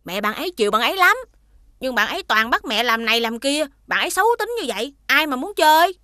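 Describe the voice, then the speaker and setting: A woman's voice: sits high, expressive, fast, sharp tone, dry recording, studio quality. A woman voicing a new schoolboy in a children's cartoon, polite and ingratiating but two-faced. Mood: gossiping. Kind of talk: cartoon voice acting.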